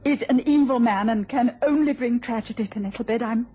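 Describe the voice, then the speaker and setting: An actress playing a gentle, anxious, devoted wife in a radio drama, speaking politely and haltingly. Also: female voice, on the high side, lightly acted. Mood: tearful, fearful. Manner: polite, halting, breaking off when flustered. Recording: dull, noisy old radio recording.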